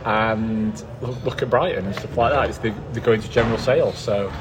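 A man's voice: on the low side, a little fast. A man co-hosting a casual podcast chat outdoors, wry and teasing. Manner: wry, teasing, laughs at his own jokes. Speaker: podcast co-host. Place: outdoors by a canal before an away match. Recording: busy crowd noise behind. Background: crowd noise.

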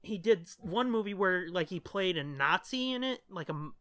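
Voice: medium pitch, expressive, normal speed, pushed and bright, no room sound, clean studio sound. A podcast co-host chatting casually in blunt, slangy, profanity-heavy talk. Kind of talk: casual chat.

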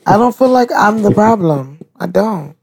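A woman's voice: mid-range voice, lively intonation, moderate pace, gravelly, darkish tone, breathy edge. A woman chatting casually on a podcast, frank and dismissive, with some swearing. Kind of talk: casual chat.

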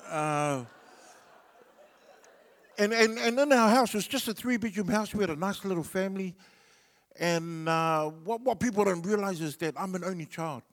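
Nz guy, samoan first language, rambly stand-up story, kinda high voice, big echoey hall. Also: moderate pace, long pauses. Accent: New Zealand. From New Zealand. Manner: laid-back and rambling, with many fillers. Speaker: comedian.

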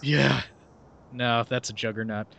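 A man's voice: medium pitch, steady tone, measured pace, creaky, a bit bright. A young man hosting a podcast, chatting casually and reading a summary aloud with sarcastic asides, often laughing mid-sentence. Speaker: podcast host.

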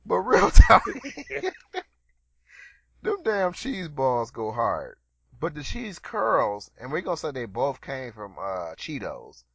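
Lively, bright-voiced man joking around. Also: takes it slowly, gravelly, husky, dry recording, dull audio. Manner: loud, playful, swings to a put-on deep voice. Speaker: podcast co-host. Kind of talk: casual joking chat.

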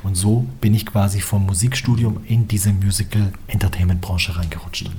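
A man's voice: on the low side, fairly even intonation, normal speed, warm tone, a little hiss or hum. A young man, a musician, talking casually and at length as an interview guest, with lots of filler phrases. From Germany. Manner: chatty, rambling, with filler phrases. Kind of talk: relaxed conversational interview.

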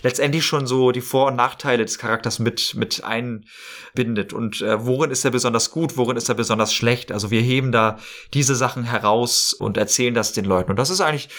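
A man talking casually as a podcast interview guest, often circling back over his phrases in a halting way. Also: mid-range voice, a bit bright. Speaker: interview guest, role-play podcast maker.

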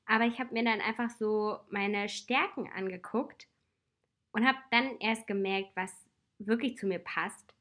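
A woman in her twenties with a slight cold talks in a relaxed, personal way. She is reflective and chatty and laughs softly now and then. Her voice sits at an ordinary pitch for a woman. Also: a little slow. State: has a cold. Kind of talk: casual personal advice talk.

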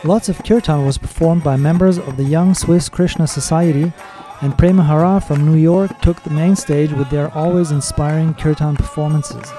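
A man hosting a podcast, reading his scripted episode introduction and news in a measured, steady way. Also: fairly high pitch, over music. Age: adult with children. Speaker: podcast host.

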